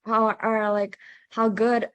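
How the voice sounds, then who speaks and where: A woman's voice: fairly high pitch, flat delivery, slow pace, dry recording. A woman on a discussion panel, talking hesitantly with many fillers and restarts. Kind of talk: debate-style discussion.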